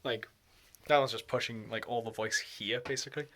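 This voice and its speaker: A man's voice: mid-range voice, fairly even intonation, a little fast, a bit bright, slight background noise. A man talking to camera in a loose, meandering way. His voice sits in an ordinary middle register, but it keeps sliding into put-on accents and odd character voices. He laughs often as he goes. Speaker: vlogger. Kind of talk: rambling solo vlog.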